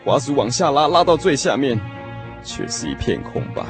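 Male actor as lovesick young man, animated intonation, sighing radio drama narration, prominent music behind. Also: mid-range voice, moderate pace, close to an everyday voice. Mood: anguished disbelief. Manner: expressive, sighing, emotional storytelling. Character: lovesick young man retelling his lost first love, wistful.

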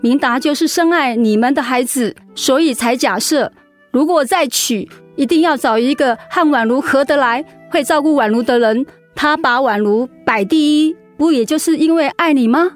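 Actress as high-voiced chiding confidante. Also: female voice, moderate pace, faint music behind, lightly acted. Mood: chiding, affectionate. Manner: chiding, persuasive. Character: frank, scolding, caring female confidante. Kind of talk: radio drama acting.

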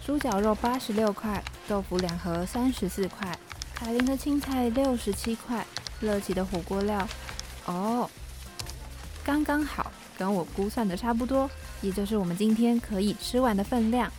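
A woman's voice: medium pitch, normal speed, music in the background, natural voice. A woman acting in an audio drama as a precise, thrifty perfectionist dormmate, matter-of-fact and sure of herself. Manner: matter-of-fact, self-assured. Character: precise, frugal perfectionist young female dormmate.

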